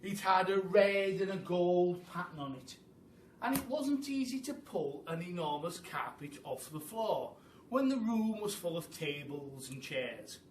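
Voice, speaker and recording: Guy reading a kids book out loud, takes his time, animated, echoey room with a bit of noise. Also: sits high, measured pace. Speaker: storytelling teacher. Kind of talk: animated children's story reading. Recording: roomy, distant mic with faint noise.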